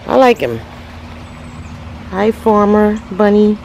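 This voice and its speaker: A woman's voice: medium pitch, slow, gravelly, low-quality recording. A woman giving viewers a chatty tour of her backyard patio and garden, talking straight to them into a handheld phone outdoors. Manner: chatty, talks straight to viewers with lively exclamations. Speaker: home renovation vlogger. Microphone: handheld phone camera. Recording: outdoor ambient noise.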